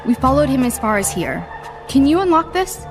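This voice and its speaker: A woman playing a quick-witted young superheroine in a video game, wry and brisk. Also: high voice, big pitch swings, music in the background. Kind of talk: video game cutscene acting.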